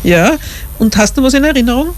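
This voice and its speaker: A woman's voice: fairly high pitch, expressive, quick pace, a little hiss or hum. Chatty Austrian woman hosting live radio. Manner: chatty, laughs often, warm questions. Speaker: radio host. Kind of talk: casual live radio interview. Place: radio studio. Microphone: studio microphone.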